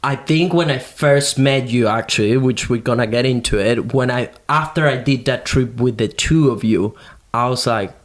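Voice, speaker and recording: A man's voice: mid-range voice, normal speed. A young man with a Venezuelan Spanish accent, a native Spanish speaker, chatting casually and informally as a podcast host. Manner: informal, animated slangy talk with swearing. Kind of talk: casual chat.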